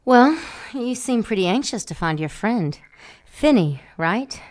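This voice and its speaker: A woman's voice: fairly high pitch, big pitch swings, measured pace. A woman acting a seductive, teasing supernatural lover in a radio drama, sultry and playful.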